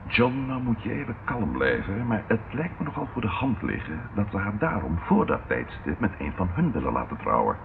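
An actor playing a shrewd policeman in a radio play, deliberate and matter-of-fact in manner. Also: on the low side, wildly swooping pitch, gravelly, full character voice. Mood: reasoning. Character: measured, shrewd policeman colleague reporting grim findings. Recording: muffled, noisy old radio recording.

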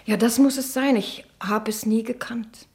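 An actress playing a lonely, sheltered middle-aged niece in a 1960 German radio drama, with a hesitant, wistful way of speaking. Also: female voice, a little high, fairly even intonation, moderate pace, darkish tone, natural voice. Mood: subdued, wistful.

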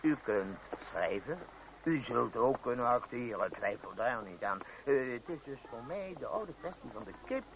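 A wily, pompous troupe boss cajoles in the manner of an old radio drama. His pitch swings freely between oily courtesy and scheming pressure. The recording is dull, with clear hiss and noise. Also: big pitch swings, moderate pace. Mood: cajoling. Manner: pompous, unctuous, hemming and hawing. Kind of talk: old Dutch radio play acting.

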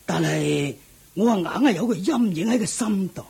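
An actor playing an anxious, doting middle-aged king and father in a Cantonese radio drama, with a Hong Kong accent and a husky voice. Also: high-pitched, lively intonation, moderate pace, darkish tone, heavily acted. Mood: troubled, sighing.

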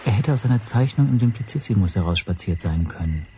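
An actor playing an observant language teacher who narrates his story in a measured, dryly descriptive way for a radio drama. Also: lowish voice, expressive, dark tone, a little hiss or hum, natural voice.

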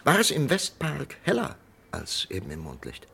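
An actor playing a persistent, probing doctor in a German radio crime drama, with an insistent, questioning manner. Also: male voice, mid-range voice, wildly swooping pitch, normal speed, creaky, sharp tone, slightly rough, full character voice. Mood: probing, controlled. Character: persistent, probing male doctor challenging a death sentence. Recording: faintly noisy vintage radio drama recording.

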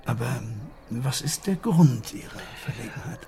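An actor playing a courteous, fatherly older gentleman in a radio drama, formal and persuasive, with a creaky voice. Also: mid-range voice, expressive, normal speed. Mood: earnest, pleading. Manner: courteous, formal, hesitant in places. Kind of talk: German radio drama acting.

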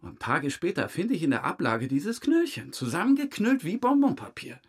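A man's voice: a little high, sing-song, moderate pace, slightly rough, exaggerated voice. An actor playing a talkative, defensive man questioned by police in an audio crime drama, colloquial and slightly aggrieved. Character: chatty male car owner under questioning, defensive, evasive. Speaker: witness being questioned by police.

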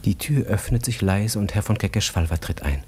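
Male narrator for literary radio drama: low voice, measured storytelling, some background noise. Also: a little mellow. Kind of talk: literary radio play narration, present tense.

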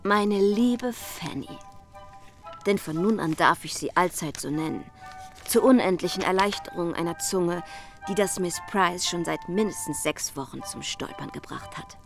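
Posh young society lady in a radio drama, arch n playful, lowish voice, reading out letters. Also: a little slow, faint music behind. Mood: gushing, arch. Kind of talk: German radio play, reading letters aloud.

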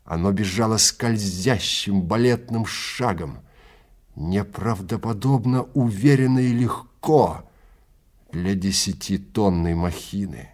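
Slow, low-voiced man narrating dramatically. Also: darkish tone. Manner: measured, theatrical. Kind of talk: dramatic scripted story narration.

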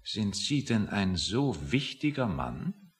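A man's voice: on the low side, very animated, takes it slowly, vocal fry, heavily acted. An actor playing a grumpy, self-satisfied, pedantic man in a satirical radio play. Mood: scornful. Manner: pedantic, lecturing, easily offended. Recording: reverberant hall-like room.

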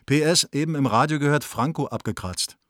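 A man's voice: fairly high pitch, brisk, fairly bright tone, dry recording, clean studio sound, natural voice. An actor playing an opinionated, affectionate young bohemian intellectual, wry and emphatic as he reads out his letter in a radio play. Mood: brisk, chatty. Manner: wry, emphatic, self-important.

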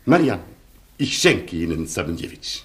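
A man's voice: low voice, very animated, moderate pace. A proud Polish colonel of the old aristocracy, speaking in wide, swooping melodies. He is gallant and imperious, with a Polish accent, formal broken phrasing and full radio-play grandeur. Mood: ardent, gallant. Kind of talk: German radio play acting.

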